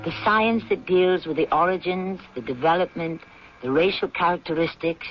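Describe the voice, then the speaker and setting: A man hosting and narrating a 1970s radio drama in a formal, measured, didactic scripted style. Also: sits high, a little hiss or hum. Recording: muffled 1970s radio broadcast.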